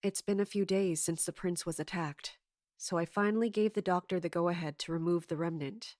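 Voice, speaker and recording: A woman playing a scheming, authoritative commander in an audio drama, speaking in a measured way. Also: lowish voice, a little mellow, no room sound, studio quality, lightly acted. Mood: brooding, resolved. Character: scheming, commanding woman in charge of palace guards. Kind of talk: audio drama acting with first-person narration.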